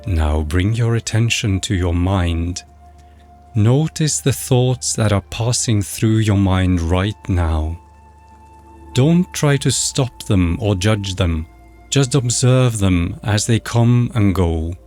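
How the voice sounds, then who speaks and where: A man narrating a guided meditation in a measured, instructional, scripted way. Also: fairly low pitch, expressive, a little mellow. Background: background music.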